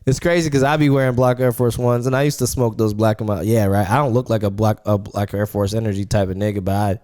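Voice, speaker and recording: A man's voice: lowish voice, steady tone, fast, darkish tone. A man in his twenties hosting a podcast, chatting casually with lots of fillers and repeated words. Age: 20s. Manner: rambling, full of fillers and repeats. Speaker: podcast host. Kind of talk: casual chat.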